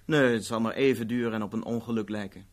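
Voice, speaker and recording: A man's voice: fairly low pitch, steady tone, fast talker, natural voice. A man acting in a 1970s Dutch radio play as an urbane, wry agent who delivers threats politely and matter-of-factly. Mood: cool threat. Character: urbane, coolly menacing male agent of a ruthless organisation.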